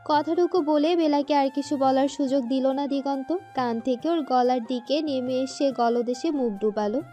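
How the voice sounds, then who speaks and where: A woman's voice: high voice, moderate pace, warm tone, faint music behind. A woman narrating a scripted romantic story.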